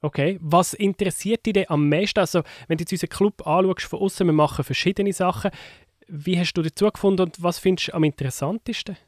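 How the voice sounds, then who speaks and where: A man's voice: sits high, fast talker, no echo, studio quality. A man with a Swiss German accent hosting a podcast, introducing topics and asking his guest questions. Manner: friendly interviewer asking questions. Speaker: podcast host.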